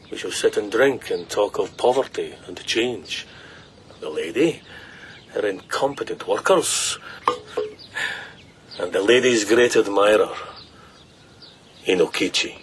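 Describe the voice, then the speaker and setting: A man's voice: fairly low pitch, lively intonation, slow pace, long pauses, slight background noise. An actor playing a menacing, mocking bandit swordsman in a radio drama, condescending and mock-courteous. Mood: sly, genial.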